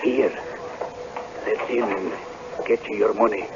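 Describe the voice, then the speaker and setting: An actor playing a blunt, loyal, fearful old Scottish castle servant in a radio drama. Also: male voice, fairly low pitch, fairly even intonation, takes it slowly. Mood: uneasy. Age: older. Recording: dull, noisy old radio recording.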